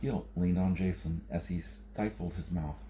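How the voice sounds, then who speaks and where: Low-voiced man reading science fiction. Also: fairly even intonation, a little slow, warm tone, a little hiss or hum. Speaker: audiobook reader. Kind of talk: narrating a science fiction novel.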